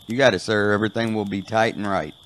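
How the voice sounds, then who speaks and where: A man's voice: on the low side, steady tone, fast, fairly bright tone, a little hiss or hum, close to an everyday voice. An actor playing a dutiful soldier who reports to his commander in clipped military phrases in an audio drama. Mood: brisk, obedient.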